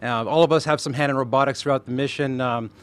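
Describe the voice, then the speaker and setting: Astronaut at a press event, eager and rambling, lots of fillers, plain mid voice. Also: male voice, mid-range voice, fairly even intonation, fast, clean tone. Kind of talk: press statement, loosely spoken.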